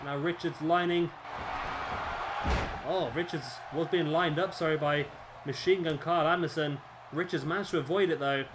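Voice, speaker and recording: A man, his voice a little hoarse, doing casual play-by-play commentary over a wrestling video game, rambling with fillers and correcting himself. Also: fairly high pitch, very noisy. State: slightly hoarse, losing his voice. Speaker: gameplay commentator.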